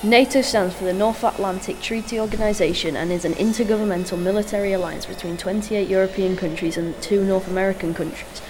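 A woman's voice: medium pitch, fairly even intonation, quick pace, few pauses, noisy background, natural voice. A female performer playing a righteous, pompous talking banana agent in an amateur comic radio play, declamatory and officious.

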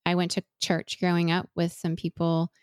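Woman, forties, raised in Venezuela; earnest, reflective guest, lowish voice. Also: monotone, moderate pace, clean tone, no room sound, studio quality. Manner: earnest, thoughtful, with frequent restarts. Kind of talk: reflective interview conversation.

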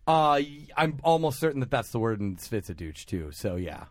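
A man chatting casually among friends, rambling with wry jokes and quick asides. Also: medium pitch, very animated, no echo, clean studio sound. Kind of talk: casual chat.

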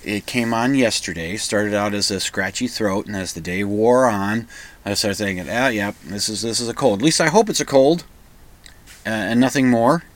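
A male radio host with a slightly croaky voice from a cold and a lozenge in his mouth, rambling casually and self-deprecatingly. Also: on the low side, normal speed. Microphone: microphone into recording software.

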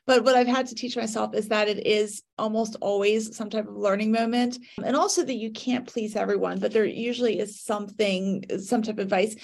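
A woman on a discussion panel, talking quickly and laughing now and then. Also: mid-range voice, vocal fry, darkish tone. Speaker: panelist, YouTuber.

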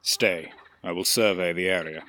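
A man's voice: sits low, steady tone, normal speed, sharp tone, a little hiss or hum. A man playing a stoic, disciplined alien warrior in an audio drama, speaking in terse, formal phrases. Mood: brisk, focused. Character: male Eldar warrior, stoic, disciplined, impatient but loyal.

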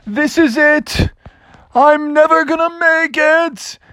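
A woman acts out a young boy bear for a children's story. The voice is very high and swings widely in pitch, with earnest, pleading melodrama. The sound is close and dry. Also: animated, a little fast, creaky, dry recording. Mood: panicked despair.

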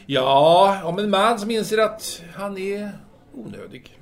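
Man acting courteous male intellectual, self-absorbed, halting, musing, very lively pitch swings, audio drama. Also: gravelly.

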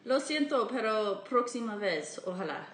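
A woman's voice: a little high, animated, a little slow, reverberant. A woman, hosting a casual podcast interview in Spanish as a learner, searching for her words. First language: English. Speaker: podcast host.

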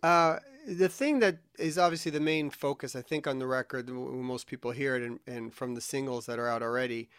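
A man's voice: mid-range voice, a little fast, few pauses, a bit bright, clear, resonant tone, dry recording, studio quality. A man interviewing musicians, asking long, winding questions.

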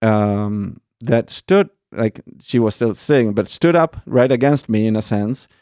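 Argentine-born priest, soft dark voice. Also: male voice, on the low side, clean tone, no echo, clean studio sound. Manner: thoughtful, many pauses and fillers, says "right?" often. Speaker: priest guest. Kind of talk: conversational storytelling.

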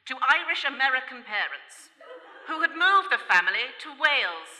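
A woman playing the narrator of a live radio mystery, telling the story in a measured, scripted manner. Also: high voice, very animated, bright, forceful tone, full character voice. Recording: echoey hall, music behind, live recording.

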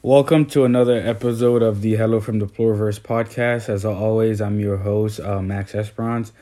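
A young man from Haiti hosts a podcast in a low, mellow voice. He reads steadily and pauses now and then on small fillers. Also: faint music behind. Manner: steady, slightly halting with fillers. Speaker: podcast host, graduate student. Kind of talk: semi-scripted podcast hosting.

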